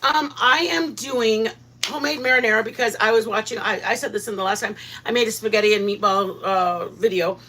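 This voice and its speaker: A woman hosting a casual live cooking stream from her home kitchen, excitable, rambling and quick to giggle. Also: fairly high pitch.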